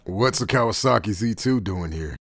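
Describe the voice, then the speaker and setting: A man playing a cool, gruff, laid-back outlaw fighter in an anime fan drama. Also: on the low side, expressive, moderate pace, a bit bright. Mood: cool, curious.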